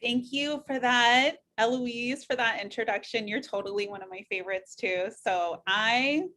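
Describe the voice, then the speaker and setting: Middle-aged woman presenter, bright tone, casual and rambling, lots of fillers. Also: on the high side, moderate pace. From United States (Missouri). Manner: loose and chatty, many fillers and restarts. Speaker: nurse practitioner giving a talk. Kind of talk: webinar presentation with slides.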